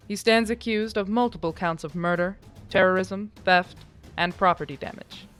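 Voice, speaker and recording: A stern, authoritarian female lieutenant general, acted by a woman, delivers a formal proclamation for an audio drama. Her mid-pitched voice sounds pompous and self-righteous, with soft music underneath. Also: natural voice.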